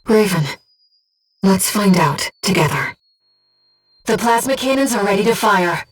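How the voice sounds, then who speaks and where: A gentle female spirit of a video game, speaks as a mission operator with mid-pitched, precise and composed delivery. The voice is earnest and softly formal, sounding slightly removed from the mic, with music underneath. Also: lively intonation, a little slow, husky, recorded from a distance. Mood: composed, focused. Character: gentle, earnest female disembodied coral being guiding a mercenary pilot. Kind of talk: scripted video game voice acting.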